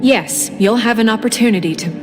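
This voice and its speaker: A woman's voice: a little high, brisk, over loud music, lightly acted. An actress playing an earnest, worried young woman in a video game cutscene. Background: loud game score.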